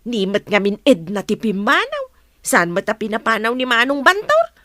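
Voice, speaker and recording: A woman plays a gossipy village neighbour in a radio comedy drama. Her voice is fairly high, bright and very animated, recorded close and dry. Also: normal speed, dry recording, full character voice. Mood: insisting.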